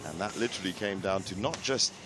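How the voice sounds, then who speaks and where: Low-voiced male sports commentator, slightly hoarse. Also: fast. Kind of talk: live badminton match commentary.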